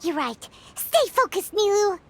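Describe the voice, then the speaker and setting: Childlike female sidekick for a video game: excitable, loyal, very high, animated, faint noise. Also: measured pace, full character voice. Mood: excited, worried. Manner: eager, excitable. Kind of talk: scripted video game voice acting.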